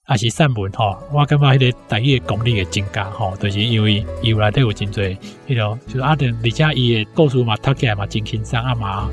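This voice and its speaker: A man's voice: lowish voice, fast, hardly any pauses. A man in his sixties with a Taiwanese accent recalls personal memories informally, often punctuating his phrases with little tag particles. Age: 60s. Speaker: interview guest, university academic. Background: background music.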